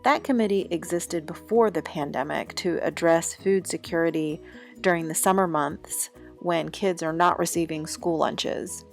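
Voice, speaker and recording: A woman reads a steady, informative script in a lowish voice with a gravelly edge. Soft music plays faintly behind her. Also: moderate pace. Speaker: ministry volunteer. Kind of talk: scripted narration.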